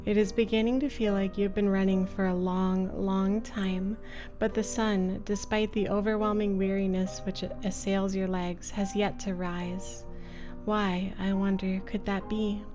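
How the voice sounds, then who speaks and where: A woman plays an eerie narrator who addresses the player directly in a visual novel. The delivery is slow, literary and ominous. Light music runs underneath. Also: medium pitch, flat delivery, lightly acted. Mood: ominous, knowing. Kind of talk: scripted dramatic narration.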